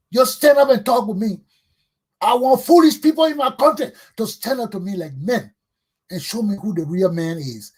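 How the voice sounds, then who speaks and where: A man's voice: fairly high pitch, slightly rough. A middle-aged man with a Liberian accent delivers an impassioned, combative political monologue as a talk show host, emphatic and preachy, hammering phrases through repetition.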